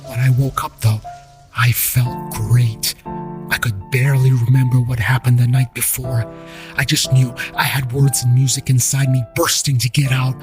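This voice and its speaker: Casting: male storyteller for audio drama, medium pitch, first-person narration over a prominent music bed. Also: flat delivery, normal speed.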